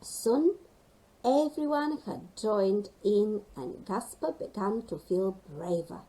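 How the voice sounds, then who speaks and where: A woman reading a children's story expressively, animated and engaging young listeners. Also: mid-range voice, slow pace, poor audio.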